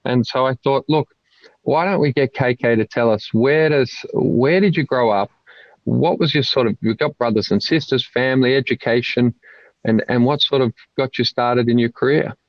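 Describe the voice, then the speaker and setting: A man's voice: mid-range voice, normal speed, no echo, dull audio. A man hosting an interview podcast, upbeat and enthusiastic, with the odd restart as he talks. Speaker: podcast host. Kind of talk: casual interview chat.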